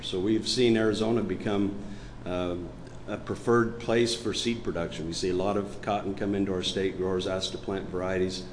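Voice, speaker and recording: Man, chest cold, very low voice, research talk over slides, roomy echo. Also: slight background noise. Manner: walks through slides, pointing out details. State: chest cold, voice deeper than usual. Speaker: crop researcher presenting findings.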